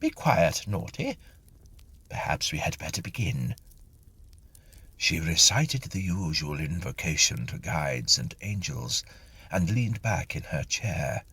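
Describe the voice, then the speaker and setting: A man reading a literary story aloud in an expressive, theatrical narrating style. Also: extremely low pitch, gravelly, husky, no echo. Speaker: story reader.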